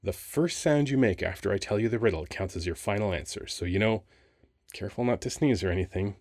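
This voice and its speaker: A dry, close recording of a man playing a sphinx guarding an ancient temple in an ASMR roleplay. His voice sits low, and he rambles in a casual, halting way. He comes across as wry, weary and flirtatious. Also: a little mellow, dry recording. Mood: flustered, explaining.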